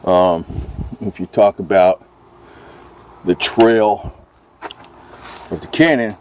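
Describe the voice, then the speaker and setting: Low-voiced American man, noisy amateur recording. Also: slow, darkish tone. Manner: rambling, informal, folksy. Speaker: vlogger. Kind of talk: casual off-the-cuff chat.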